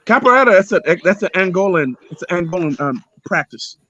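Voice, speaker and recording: A man's voice: high voice, expressive, fairly quick, sharp tone, lo-fi sound. A man hosting a livestream, arguing heatedly and repeating himself, sometimes swearing. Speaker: livestream host. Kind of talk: heated casual debate.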